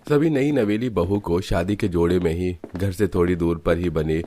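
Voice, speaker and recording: Very deep-voiced male story narrator. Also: moderate pace, warm tone, soft background music. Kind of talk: scripted cartoon story narration.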